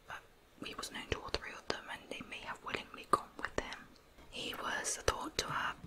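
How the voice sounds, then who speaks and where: Whispering woman, ASMR storyteller. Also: rough recording. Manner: soft, unvoiced whispering. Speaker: ASMR true crime storyteller. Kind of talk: whispered ASMR true crime narration.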